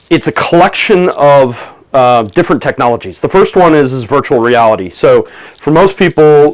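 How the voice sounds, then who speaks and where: A man talking to camera from his office about technology for business, pausing often with ums and restarts, his voice a little gravelly from a cold. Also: mid-range voice, poor audio. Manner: halting, many ums and restarts. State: has a cold, slight gravel. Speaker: tech commentator. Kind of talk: casual video talk to camera. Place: office under renovation.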